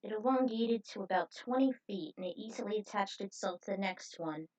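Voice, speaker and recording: A woman's voice: medium pitch, brisk, a little mellow. A woman casually narrating fan fiction aloud as a host, in an informal, chatty way, on a muffled recording. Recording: dull lo-fi recording.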